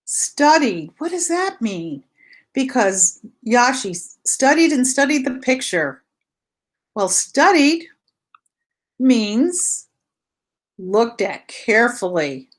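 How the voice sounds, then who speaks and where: A woman's voice: on the high side, big pitch swings, slow, long pauses. A woman teacher leading a read-aloud lesson for young children, explaining simply with repetition and posing questions she answers herself.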